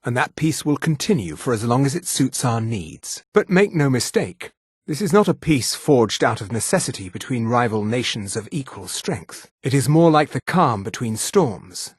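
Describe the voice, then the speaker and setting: Haughty high elf officer. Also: male voice, big pitch swings, moderate pace, slightly rough, dry recording, studio quality. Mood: haughty, menacing. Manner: disdainful, formal, clipped authority. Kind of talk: fantasy video game character dialogue.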